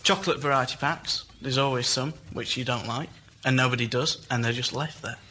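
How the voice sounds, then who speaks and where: A man with a bright, mid-pitched voice speaks casually as a show contestant. He explains and argues his case without a script. Faint noise sits behind him. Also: fairly even intonation, fairly quick. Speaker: member of the public pitching an idea. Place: live venue with a studio audience.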